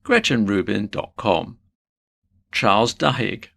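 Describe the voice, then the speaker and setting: A man's voice: fairly low pitch, animated, slow pace, vocal fry, a bit bright, breathy edge. A man reading a scripted informational narration, presenting to the listener in an upbeat way.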